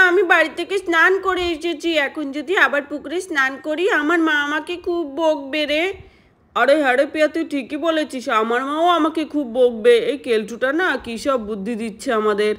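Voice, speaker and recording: A woman's voice: high voice, fairly bright tone. A woman voicing village children at play in a children's doll story, with simple, exclamatory childlike chatter. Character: village children playing by a pond, easily scared.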